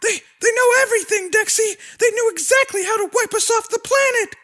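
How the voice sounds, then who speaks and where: A man's voice: very high-pitched, fairly quick, sharp tone, voice pushed up. A man voicing an anxious young girl monster in an audiobook, stammering urgently. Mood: panicked. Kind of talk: audiobook character acting.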